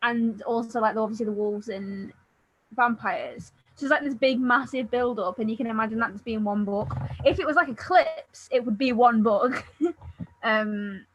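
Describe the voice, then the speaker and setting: A woman chatting casually about books in a halting, giggly way. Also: fairly high pitch, no echo, rough recording. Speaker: book readalong participant. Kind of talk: casual book discussion chat.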